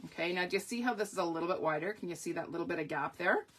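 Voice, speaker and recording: A woman hosting a live papercraft tutorial, talking through each step and greeting viewers by name, her voice going a little croaky. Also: on the low side, racing, fairly bright tone, a little hiss or hum. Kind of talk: live-stream craft demonstration.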